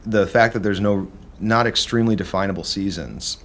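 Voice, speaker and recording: A man's voice: on the low side, moderate pace, a bit bright. A man with a Midwestern accent, a drummer telling stories in a casual podcast chat. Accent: Midwestern American. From American Midwest. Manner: rambling storyteller, many fillers and restarts. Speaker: drummer and guest.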